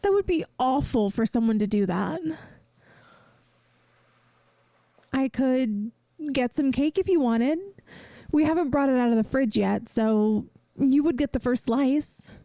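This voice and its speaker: A woman playing a caring young best friend in a soft-spoken ASMR roleplay, gentle and earnest, hesitant at times, offering reassurance. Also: sits high, lively intonation, moderate pace, dry recording. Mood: hesitant, helpful.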